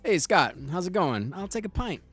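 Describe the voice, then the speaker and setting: An actor as a wisecracking, animated young gang guy. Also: high voice, moderate pace, husky, dry recording, soft background music, full character voice. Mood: teasing. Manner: teasing, casual banter. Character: wisecracking, easygoing city gang member, teasing old friend. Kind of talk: casual audio drama dialogue.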